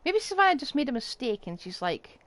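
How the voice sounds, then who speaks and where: A woman's voice: high voice, big pitch swings, fairly quick, a bit bright. A woman live streaming a game with casual, chatty commentary while game music plays behind her. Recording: voice over faint game music.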